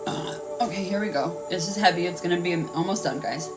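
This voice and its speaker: A woman's voice: on the low side, a little fast, distant mic. A woman hosting a live-streamed healing session, talking in an earnest, rambling way and guiding listeners through their breathing. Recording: voice a bit off-mic with music playing.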